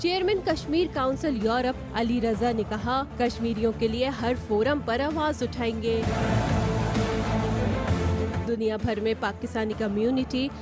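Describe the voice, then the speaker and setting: A woman with a Pakistani Urdu accent narrating a scripted news package in crisp headline style. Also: on the high side, a little slow. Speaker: news voice-over. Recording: music bed behind voice. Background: music.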